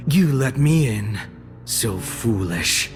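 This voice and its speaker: An actor voicing a cold, arrogant young male shadow assassin in a game, menacing and measured. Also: mid-range voice, very animated, over music. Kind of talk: game voice lines.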